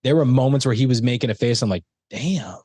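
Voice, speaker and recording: A man's voice: medium pitch, fast talker, dark tone, husky, no room sound. A man co-hosting a casual movie review podcast, talking in a rambling, chatty way with lots of restarts. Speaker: podcast co-host.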